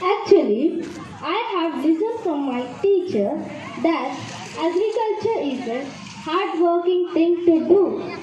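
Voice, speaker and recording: A woman's voice: very high-pitched, expressive, slow, dark tone. A female student performer reciting scripted puppet-show dialogue with simple, rehearsed phrasing. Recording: muffled echoey room, faint chatter and noise.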